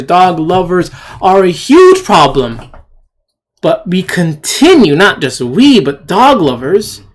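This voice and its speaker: A person giving an indignant, opinionated commentary, emphatically repeating phrases and asking rhetorical questions. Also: mid-range voice. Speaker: video commentator. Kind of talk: opinionated rant commentary on shared screen clips.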